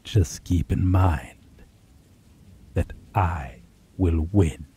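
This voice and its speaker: A man voicing an ancient, possessive vampire in an ASMR roleplay, theatrically courteous and menacing, with breathy, drawn-out phrasing that slips into whispers. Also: low voice, heavily acted. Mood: playful, menacing. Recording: steady rain and thunder noise behind.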